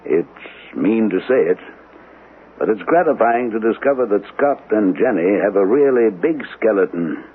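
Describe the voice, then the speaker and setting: Wry man, lowish expressive voice. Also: moderate pace, slightly rough. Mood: wry, bitter. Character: thoughtful, wry husband with an old family grudge. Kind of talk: radio mystery drama acting. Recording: muffled old radio broadcast, faint noise.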